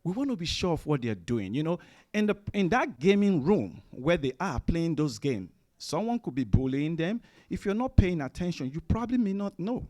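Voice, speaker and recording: A man's voice: on the high side, very animated, normal speed, a little mellow. A middle-aged man from Nigeria with a Nigerian accent, giving a conversational presentation to parents in a room, with frequent fillers and restarts. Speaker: guest speaker at a parents' summit. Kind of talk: conference presentation. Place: room with a seated audience.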